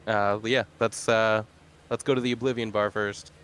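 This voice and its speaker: Lowish-voiced man, casual roleplayer. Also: flat delivery, moderate pace, fairly bright tone, clear, resonant tone. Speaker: tabletop game player. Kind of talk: casual in-character roleplay chat.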